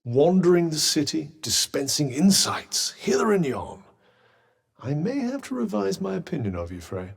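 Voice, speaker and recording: Game role: sardonic magical talking object, British accent, haughty and droll, animated intonation, lightly husky tone. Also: male voice, fairly high pitch, moderate pace, full character voice. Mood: dry, sardonic. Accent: British English. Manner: dry, sarcastic, condescending, theatrical. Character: sardonic, haughty, well-spoken talking magical cuff, male. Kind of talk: video game character dialogue.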